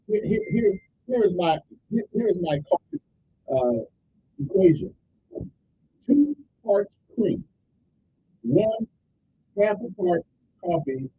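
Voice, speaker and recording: A man's voice: high voice, lo-fi sound, slight background noise. A man hosting a radio talk show, chatting in a folksy, rambling way with lots of asides. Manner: folksy, chatty, rambling asides with repeated "okay" and "I'm just saying". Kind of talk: casual radio talk, listing the day's observances.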